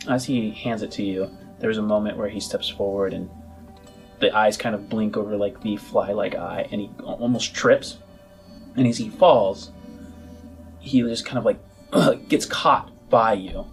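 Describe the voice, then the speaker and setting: A man narrating a tabletop roleplaying game as game master, in halting, measured phrases. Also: fairly low pitch, soft background music.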